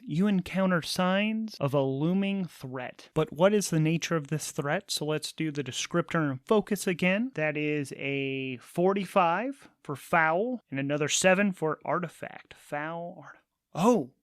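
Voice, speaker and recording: A man's voice: fairly high pitch, no room sound, studio quality. A man narrating a solo tabletop game casually, thinking aloud as he switches between rules and story.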